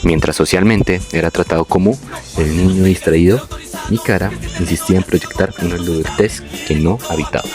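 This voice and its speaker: A young man reading a scripted, reflective personal narration in a measured, literary way. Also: low-pitched, steady tone, music in the background. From born in Venezuela, moved to Colombia. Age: young adult. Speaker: narrator of his own life story.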